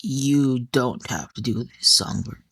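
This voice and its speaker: A woman playing a curt, emotionally distant wealthy husband in an audiobook drama, speaking in a cool, measured manner. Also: a bass voice, expressive, creaky, fairly bright tone, slightly rough, dry recording, clean studio sound, full character voice.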